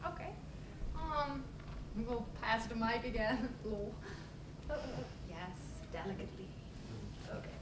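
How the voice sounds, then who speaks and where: A woman's voice: a little high, animated, unhurried. A young woman moderating a panel in an upbeat, chatty, informal way. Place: conference room with audience, table microphone. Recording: echoey conference room, distant mic, noisy.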